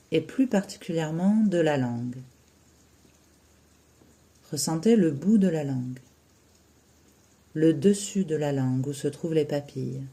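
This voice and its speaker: A yoga teacher leads a guided relaxation in a soft-edged, mellow voice. The delivery is slow and soothing, with short phrases set apart by long pauses. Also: medium pitch.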